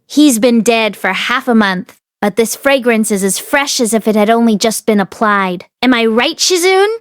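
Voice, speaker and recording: A woman's voice: fairly high pitch, animated, normal speed, no room sound, clean studio sound, lightly acted. A woman voicing a clever, teasing young male disciple in an audiobook, talkative and playfully coaxing. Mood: explaining eagerly. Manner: explains at length, playfully coaxing. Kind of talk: acted audiobook dialogue.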